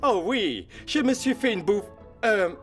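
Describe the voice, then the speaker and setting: A swashbuckling cartoon cat hero in a French dub. The voice sits high and swings widely, with a theatrical, unhurried delivery full of drama. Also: male voice, lively intonation, a bit bright, soft background music. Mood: dramatic, suave. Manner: theatrical, peppered with Spanish exclamations. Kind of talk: French animated film dub.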